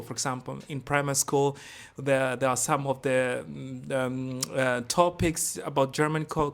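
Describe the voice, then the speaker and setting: A young man from Tanzania, a guest scholar in a podcast interview, talking with many fillers, restarts and repeated words. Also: on the high side.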